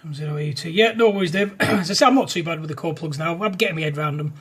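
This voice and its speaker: A man chatting easily on a ham radio, often saying mate and clearing his throat. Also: fairly high pitch, rapid-fire, a bit bright. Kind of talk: casual radio chat.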